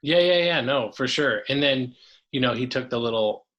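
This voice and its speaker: A man's voice: medium pitch, moderate pace, fairly bright tone, highs cut off. A man, a coach and podcast guest, talking casually and enthusiastically, often restarting his sentences.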